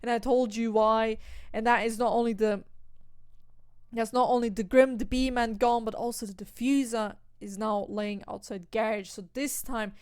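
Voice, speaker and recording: Woman casting esports, higher voice. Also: normal speed, clean tone, no room sound, clean studio sound. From Austria. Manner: analytical, rising to excited bursts on kills. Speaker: esports caster. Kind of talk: solo play-by-play commentary.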